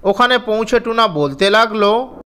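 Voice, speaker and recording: A woman storyteller reading scripted narration for a children's animated fairy tale. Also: on the high side, measured pace.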